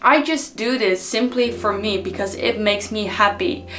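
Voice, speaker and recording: Woman, motivational vlog, unhurried reflective delivery, soft guitar music in background. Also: mid-range voice. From Netherlands. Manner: unhurried, reflective, with frequent ums. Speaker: personal development vlogger. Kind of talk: motivational talk to camera.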